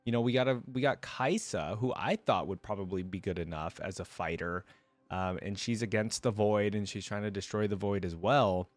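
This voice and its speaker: A man's voice: on the low side, moderate pace, no room sound, soft background music. A man hosting a reaction video, commenting casually and speaking to viewers.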